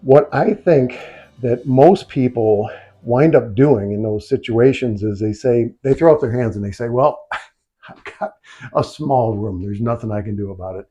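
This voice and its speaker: A man's voice: mid-range voice, measured pace, warm tone, dull audio. An American man hosting a casual, unscripted DIY vlog from a small home office, talking in an informal, rambling way full of conversational asides. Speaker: video host, DIY speaker builder.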